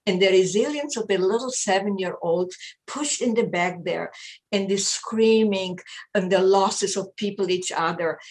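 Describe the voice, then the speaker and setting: An older woman whose first language is German, telling her life story in an interview, speaking haltingly and repeating words as she searches. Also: mid-range voice, rough recording. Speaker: interview guest, psychologist. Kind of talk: personal testimony in an interview.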